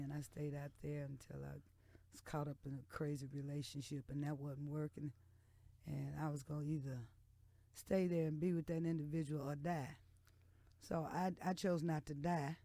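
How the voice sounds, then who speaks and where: A woman's voice: sits low, fairly even intonation, moderate pace, dark tone, dry recording. Radio interview guest: American woman, 60s, candid, emphatic, conversational, recorded dry in studio. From Texas and Oklahoma, United States. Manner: frank, outspoken, emphatic. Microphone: studio microphone.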